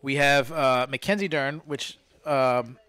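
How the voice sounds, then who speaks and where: A man's voice: medium pitch, fairly even intonation, takes it slowly, sharp tone, clean tone, no room sound. A man hosting a casual live podcast, joking around and making mock announcements. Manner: joking, hype-announcer delivery. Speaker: podcast host.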